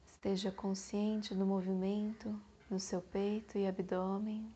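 Slow, mellow Brazilian woman guiding meditation. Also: mid-range voice, flat delivery, breathy edge, dull audio. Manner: slow, soothing, with long pauses between short phrases. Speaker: meditation guide. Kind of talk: guided meditation.

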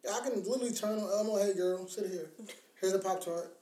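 A man's voice: high voice, fast talker, mellow. A man in his thirties chatting casually as a podcast co-host, slangy and sweary with a teasing streak. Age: 30s. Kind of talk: casual chat about parenting. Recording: roomy, echoing sound.

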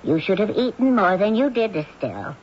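A meek, slightly dotty elderly sister who fusses over tea. Her low, husky voice moves up and down a lot. The sound is muffled like an old radio play. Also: very animated, fairly quick. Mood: gentle. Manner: gentle, fussy, a little dotty. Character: meek, fussing elderly sister devoted to Papa. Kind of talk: 1970s radio drama acting. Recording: dull old radio broadcast.

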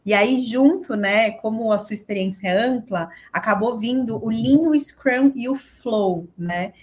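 A woman's voice: on the high side, measured pace, darkish tone. A woman with a Brazilian accent, co-hosting a podcast and interviewing in a chatty, informal way. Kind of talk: casual conversational interviewing.

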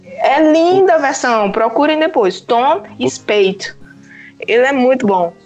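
A woman with a Northeastern Brazilian accent hosts a casual podcast conversation. Her voice sits a little high and she sounds chatty and enthusiastic, with faint music behind her. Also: animated, a little slow, darkish tone, muffled recording. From Pernambuco, Northeast Brazil. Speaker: podcast host.

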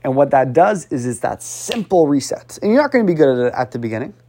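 Animated, sighing male speech coach. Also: mid-range voice, fast. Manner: animated, repetitive, urging, with audible sighs. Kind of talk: casual coaching talk.

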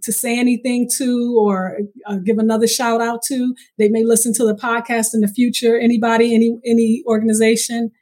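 A woman's voice: a little high, level tone, a little fast, hardly any pauses, dark tone. A woman hosting a podcast, interviewing a guest and addressing her listeners. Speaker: podcast host.

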